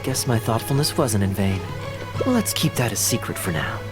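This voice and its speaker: An actor voicing a suave, teasing young male knight in a fantasy video game, smooth and playfully eloquent. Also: lowish voice, very animated, normal speed. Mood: pleased, teasing. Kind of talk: polished video game character acting. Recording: game dialogue over background music.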